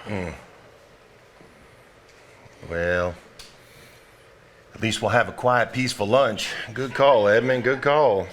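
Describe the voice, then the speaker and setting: An actor playing a plain-spoken, sardonic family heir in a live stage play, folksy and wry. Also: male voice, lowish voice, takes it slowly, pauses often, a little hiss or hum. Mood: amused.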